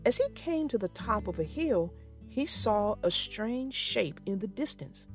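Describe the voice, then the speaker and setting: A woman narrating a children's book aloud in a slow, expressive storytelling manner. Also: mid-range voice, soft background music.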